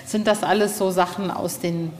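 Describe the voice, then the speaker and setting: A woman's voice: on the low side, fairly even intonation, moderate pace. A woman chatting casually and informally as a podcast interviewer, musing aloud and asking loosely phrased questions. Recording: echoey hall, faint ambient noise.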